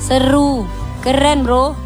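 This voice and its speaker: A woman's voice: high voice, flat delivery, slow. A young woman with a Batak accent playing an outspoken student who lectures her classmates. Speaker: university student. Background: background music.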